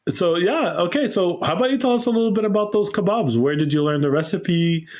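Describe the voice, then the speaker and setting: A man's voice: sits high, brisk, a little mellow. Friendly man hosting an interview. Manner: friendly, laughs often. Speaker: host. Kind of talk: casual video interview.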